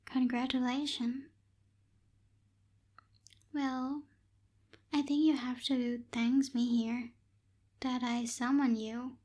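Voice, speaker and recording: Woman doing a bossy young night witch for asmr roleplay, high voice, super slow n deliberate, soft close-mic asides. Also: little pitch movement. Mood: proud, explaining. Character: proud young female tsundere night witch, bossy but helpful. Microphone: close binaural microphone.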